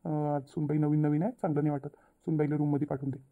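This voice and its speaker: A woman voicing a responsible elder brother and family peacemaker in a cartoon, probing and gently directing in a Vidarbha Marathi accent. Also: deep voice, flat delivery, fast. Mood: cautious, placating. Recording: tinny phone-like narrow audio.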